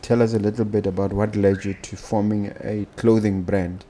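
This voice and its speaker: A man with a Botswana accent hosting a casual podcast, speaking haltingly with pauses between phrases. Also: lowish voice, little pitch movement, warm tone, a little hiss or hum. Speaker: podcast host.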